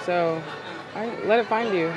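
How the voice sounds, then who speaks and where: A woman's voice: lowish voice, a little slow, rough recording. A young woman talking casually on a blind date game, easygoing and a little hesitant, with frequent fillers. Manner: easygoing, hesitant, laughs often. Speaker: dating game player. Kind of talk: casual conversation. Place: outdoor city restaurant. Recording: music and crowd behind. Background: music and chatter.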